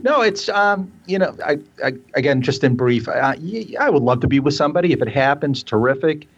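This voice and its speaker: Fifties male guest, halting and self-deprecating, heard over a dull remote line. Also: fairly high pitch, animated, slightly rough. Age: 50s. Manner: hesitant, repeats words, self-deprecating. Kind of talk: casual chat on a call-in show. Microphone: remote call line. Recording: dull lo-fi online call audio.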